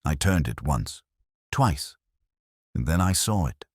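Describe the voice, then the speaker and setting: A man with a very deep voice narrates a detective story in the first person. His delivery is measured and literary, with plenty of rise and fall in the phrasing. The sound is dry, with hardly any room around it. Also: big pitch swings, darkish tone, slightly rough, dry recording.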